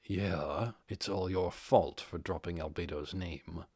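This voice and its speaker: A man voicing a composed, commanding undead overlord with a measured, authoritative manner, in an audiobook. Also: sits low, a little mellow, breathy edge, dry recording, studio quality, pitched below the performer's own voice, full character voice. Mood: stern but forgiving.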